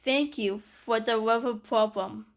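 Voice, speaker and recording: A woman reading a scripted emergency alert announcement in a stilted, deliberate manner. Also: a little high.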